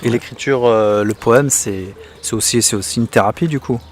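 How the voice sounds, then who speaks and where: A man's voice: medium pitch, normal speed, slight background noise. A man hosting a local podcast, asking questions in an informal, friendly way. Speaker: podcast host. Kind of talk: casual interview questions.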